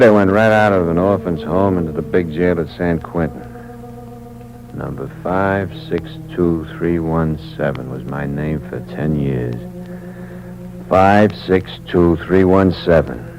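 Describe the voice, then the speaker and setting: An actor playing a mortally wounded young ex-convict who tells his story in tough, hard-boiled speech. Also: low-pitched. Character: dying young ex-convict drifter. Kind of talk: 1950s radio drama acting. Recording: dull old radio recording with hiss.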